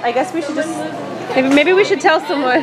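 A woman's voice: high-pitched, brisk, over a noisy crowd. A woman in her twenties vlogging a family outing, chatty and giggly, filmed on a handheld camera at arm's length. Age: 20s. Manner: chatty and giggly, lots of laughing. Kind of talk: casual family vlog. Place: aquarium and seaside street, outdoors.

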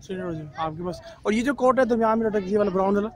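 A man's voice: sits high, rapid-fire. A man filming a casual walk-around vlog at an outdoor street market, chatting with vendors about prices. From Pakistan. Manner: friendly, asks prices, promotes his channel. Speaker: vlogger touring a market.

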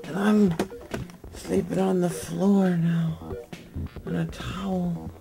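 A sleepy young man lying on a floor, talking in a drowsy, rambling, deadpan way into a nearby webcam. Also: sits high, slow pace, creaky, loud music behind the voice. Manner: drowsy, mumbling, deadpan with sudden laughs. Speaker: vlogger. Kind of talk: rambling late-night webcam monologue. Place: lying on a floor in a room indoors.

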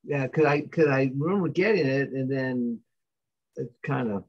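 A middle-aged man speaking from his office over a video call, relaxed, often affirming with short replies. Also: medium pitch, normal speed, highs cut off. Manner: relaxed, affirming with frequent "right". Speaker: recycling company representative. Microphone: webcam on video call.

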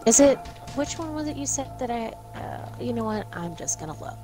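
A woman's voice: on the high side, very animated, a little fast. A woman chatting casually as co-host of a co-op gameplay video. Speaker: co-host gamer. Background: music.

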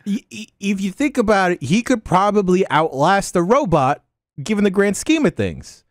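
A man's voice: high-pitched, big pitch swings, normal speed, no echo. A man chatting casually as a podcast panelist, close on a microphone. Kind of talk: casual chat. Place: indoor room, close mic. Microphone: microphone on boom arm, headset.

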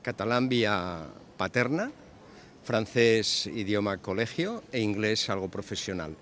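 A man's voice: lowish voice, lively intonation, measured pace, fairly bright tone. An older man answering a street interview outdoors, terse and listing. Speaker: passerby. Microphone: handheld mic.

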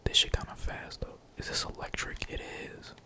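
A man's voice: moderate pace, pushed and bright. Whispering young man gaming. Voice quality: breathy, unvoiced whisper. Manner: slangy, profane, rambling. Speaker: gaming streamer. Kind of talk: whispered casual gameplay commentary.

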